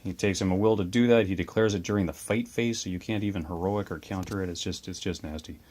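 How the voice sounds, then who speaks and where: Deep-voiced man explaining game rules. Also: steady tone, darkish tone. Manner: chatty, enthusiastic, quick asides. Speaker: host of a tabletop wargame channel. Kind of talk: casual explanatory chat about game models and rules.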